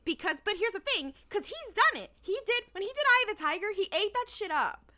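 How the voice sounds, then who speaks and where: Excitable woman podcaster, really high bright voice, casual chat, giggly, jumps in a lot. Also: brisk. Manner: excitable, interjecting, laughs often.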